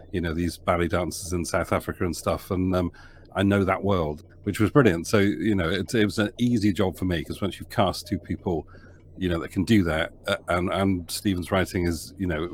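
Low-voiced man producer with many fillers. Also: slight background noise. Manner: rambling with frequent fillers and restarts. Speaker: audio drama producer. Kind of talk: casual podcast interview.

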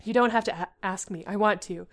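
A young romance heroine pours out her devotion in overwrought style, as a woman reads her part. She is teasing and melodramatic, with a medium-pitched voice. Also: a little fast, close to an everyday voice. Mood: anguished. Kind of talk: dramatic romance novel dialogue read aloud.

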